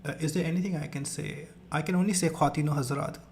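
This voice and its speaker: Hesitant young Indian man, monotone. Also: a little high, quick pace, creaky, a little hiss or hum. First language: Rajasthani. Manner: hesitant, many fillers and restarts. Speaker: live stream host. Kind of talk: casual live stream chat.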